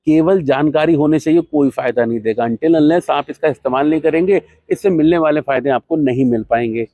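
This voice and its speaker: A man presenting an ayurvedic herbal remedy, explaining benefits and uses step by step in a steady, instructive way, with English terms mixed in. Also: fairly high pitch, a little fast, no room sound. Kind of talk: explanatory health talk to camera.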